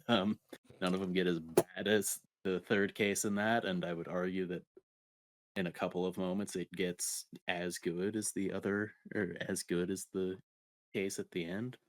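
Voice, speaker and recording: A man's voice: low-pitched, little pitch movement, a little slow. A man co-hosting a podcast, giving his opinions on video games in informal, conversational talk. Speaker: podcast co-host. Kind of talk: casual video game podcast chat.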